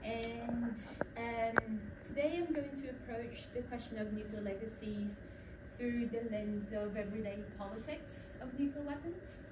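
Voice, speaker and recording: A woman's voice: a little high, a little slow, few pauses. Brazilian woman presenting in an echoey hall. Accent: Brazilian with a New Zealand tinge. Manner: storytelling, frequent ums and restarts. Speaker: academic speaker. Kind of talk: conference presentation. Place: lecture room. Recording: echoey room, distant mic, background noise.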